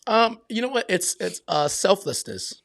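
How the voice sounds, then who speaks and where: A man's voice: high voice, very animated, unhurried, creaky, fairly bright tone, dry recording, clean studio sound. A man in his fifties from Brazil and Miami, answering interview questions in a casual, reflective way. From Brazil and Miami, United States. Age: 50s. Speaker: podcast guest, military veteran and realtor.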